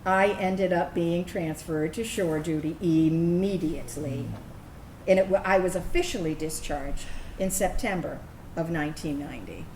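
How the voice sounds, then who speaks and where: A woman's voice: fairly low pitch, normal speed, lightly acted. Earnest woman acting a veteran in echoey room. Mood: earnest, resolute. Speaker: actor reading a veteran's personal narrative. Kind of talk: staged reading of a personal monologue. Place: indoor room, staged reading. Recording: echoey room, steady background noise.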